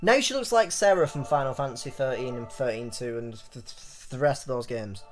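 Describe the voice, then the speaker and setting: A man hosting a let's play, mixing excitable, jokey commentary with dramatic reading aloud of the game's text. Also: mid-range voice, brisk. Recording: game music behind the voice.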